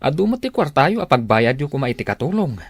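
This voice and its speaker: A man playing a husband's blunt, nosy, teasing pal in a Filipino radio drama, talking in an animated way. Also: mid-range voice, fast, husky. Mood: concerned, nosy. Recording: loud music bed behind the voice.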